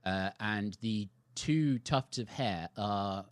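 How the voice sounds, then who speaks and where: A man's voice: fairly low pitch, takes it slowly, no room sound. A man narrating a tabletop role-playing game as the game master, describing scenes and calling for rolls.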